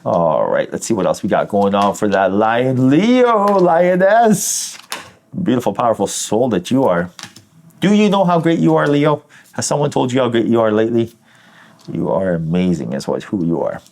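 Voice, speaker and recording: A man's voice: medium pitch, big pitch swings, normal speed, a little mellow. Encouraging, effusive man giving a spiritual reading. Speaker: tarot reader. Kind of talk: informal spiritual reading addressed to the listener.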